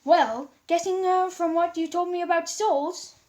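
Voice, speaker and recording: A woman's voice: extremely high pitch, steady tone, unhurried, a little mellow, voice pushed up. A woman voicing a firm female gem warrior who gives matter-of-fact instructions. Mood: commanding.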